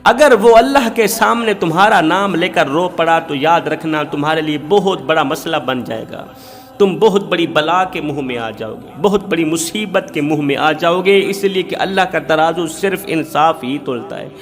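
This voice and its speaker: A male preacher delivers a sermon woven with moral storytelling. His voice sits high and carries a long hall reverb, and he stresses points through emphatic repeated phrases. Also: lively intonation, moderate pace. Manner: emphatic, rhetorical repetition.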